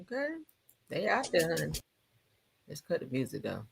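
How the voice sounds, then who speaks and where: A woman with a slightly low voice hosts a casual gossip stream. She comments as she watches, sassy and colloquial, stretching out little asides. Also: sing-song, moderate pace, vocal fry, a little hiss or hum. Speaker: gossip livestream host.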